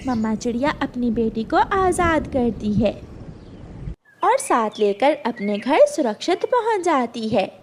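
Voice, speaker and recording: A woman reading scripted narration for a children's cartoon story in an expressive storytelling manner. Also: fairly high pitch, measured pace, slight background noise.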